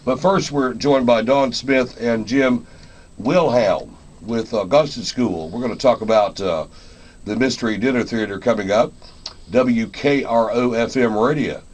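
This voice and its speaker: A man hosting a radio show in a folksy, halting, casual style. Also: mid-range voice. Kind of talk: casual radio interview and announcing.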